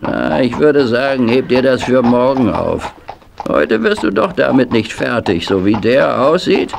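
Gravelly, slow old stableman. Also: medium pitch, very animated, background noise. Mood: grumbling kindly. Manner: dry, grumbling, good-natured. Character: gruff, skeptical old stableman. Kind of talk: German children's radio play acting.

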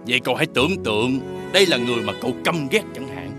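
A man voicing a scheming male gecko who coaxes and goads like a martial mentor in an animated fairy tale. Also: medium pitch, racing, over music, natural voice. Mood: coaxing, encouraging. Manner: insinuating, coaxing, with theatrical emphasis.

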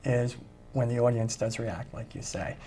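A man's voice: mid-range voice, fairly even intonation, normal speed, warm tone, husky. A middle-aged man, a musician talking conversationally as an interview guest. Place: small TV studio, indoors.

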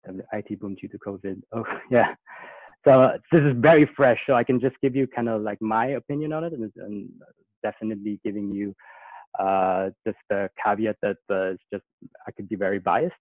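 A man's voice: on the low side, a little fast, dry recording. A man born in Austria, giving a casual talk on a video call, hesitant and full of fillers and restarts. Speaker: guest speaker. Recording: muffled dry video-call audio.

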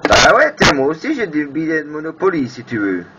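A man's voice: on the high side, huge pitch swings, brisk. A man hosting a casual amateur radio chat, informal and hesitant as he searches for words. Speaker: amateur radio operator and video host. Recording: noisy, muffled FM radio room recording.

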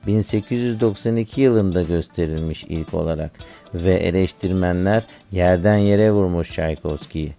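A man's voice: low voice, normal speed, mellow, soft background music. A man voicing an earnest schoolboy pupil who recites facts in a scripted educational cartoon. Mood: earnest, informative.

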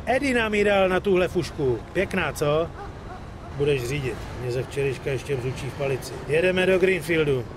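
A man's voice: on the high side, expressive, background noise, close to an everyday voice. An actor playing a hot-headed, foul-mouthed mobster in his thirties, with coarse, blunt delivery in a dubbed crime game. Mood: brusque. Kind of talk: dramatic dubbed video game acting.

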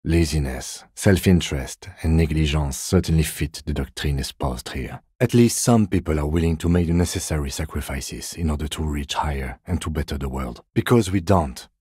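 Male game detective: very low, mellow, dry and measured, weary of nonsense, formal and by the book. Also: breathy edge, dry recording. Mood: dry, composed. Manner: measured, restrained, deadpan, formal. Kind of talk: video game voice acting.

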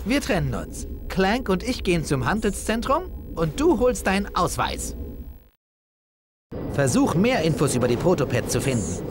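A man voicing a young, friendly, brash furry hero in a game cutscene, casual and excitable. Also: high-pitched, moderate pace. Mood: eager, surprised. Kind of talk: animated game cutscene acting. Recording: loud background noise.

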